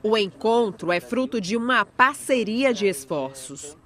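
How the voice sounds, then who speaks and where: A woman's voice: medium pitch, lively intonation, measured pace, fairly bright tone. A Brazilian woman reading scripted news narration as a reporter.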